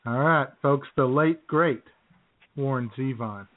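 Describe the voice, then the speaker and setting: A man's voice: mid-range voice, takes it slowly. A man hosting a radio show, chatting casually. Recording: tinny phone-like lo-fi audio.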